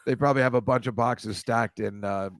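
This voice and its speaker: A man's voice: mid-range voice, fairly even intonation, fairly quick, no room sound. Guy hosting a comedy chat, wry, rambly, dry close sound. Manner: wry, rambling, laughs at own jokes. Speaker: host.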